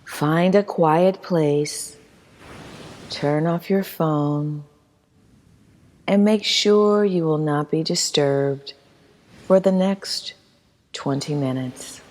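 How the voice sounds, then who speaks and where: A woman leading a guided meditation as instructor, reading in a soothing, measured manner with long pauses. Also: sits low, darkish tone. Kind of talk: guided meditation, scripted narration. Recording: long hall-like reverb.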